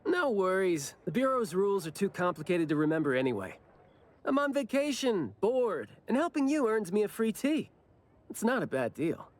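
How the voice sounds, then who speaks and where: An actor playing a playful, teasing senior bureau officer in an anime game, with drawn-out, theatrical delivery. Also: medium pitch, big pitch swings, lightly acted. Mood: confident, easygoing.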